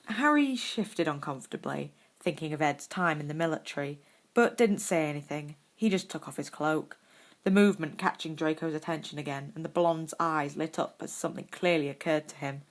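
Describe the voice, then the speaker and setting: A woman's voice: low-pitched, moderate pace. A woman reading scripted fiction aloud as the narrator of an audio story. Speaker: podfic narrator.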